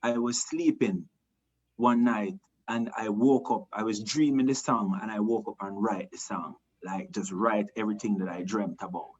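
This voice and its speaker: Jamaican man, low voice, lo-fi sound. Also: normal speed, breathy edge. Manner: relaxed, rambling, many restarts and "you know". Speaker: reggae singer, interview guest. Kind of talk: casual interview talk.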